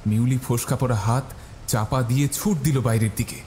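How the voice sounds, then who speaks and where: A man's voice: medium pitch, steady tone, moderate pace, noisy background. A man narrating a scripted horror story in a dramatic style. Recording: loud music bed behind. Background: music.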